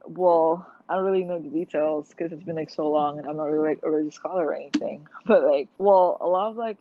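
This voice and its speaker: Young woman guest, earnest, halting, loaded with "like", dry and tinny like a phone call. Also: sits low, no room sound. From Malaysia. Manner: hesitant, full of "like" and restarts, earnest. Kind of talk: casual conversational interview.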